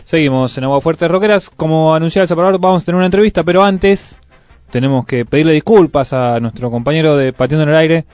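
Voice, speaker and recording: Higher-voiced Argentine radio host, a man. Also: fast talker, darkish tone, soft background music. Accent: Buenos Aires area Argentine. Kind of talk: live local radio hosting, conversational.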